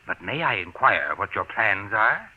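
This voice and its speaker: An American actor playing a ruthless, jealous husband in 1940s radio suspense style, with deliberate, sardonic, theatrical phrasing. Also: male voice, on the low side, sing-song, husky, exaggerated voice. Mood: jealous, accusing. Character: ruthless, possessive jealous husband and murderer, coldly menacing. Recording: dull vintage radio drama recording.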